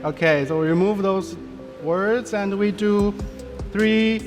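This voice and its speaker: A man presenting a software demo live on stage, playful and joking with the audience. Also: sits high, slow. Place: large event hall on stage. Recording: hall reverb with live crowd. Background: music and audience reactions.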